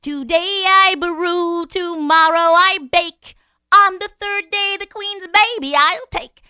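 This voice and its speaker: A woman voicing a tiny, angry magical little man with a squeaky, taunting, drawn-out voice in a storybook tale. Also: bright, forceful tone, dry recording, clean studio sound, full character voice.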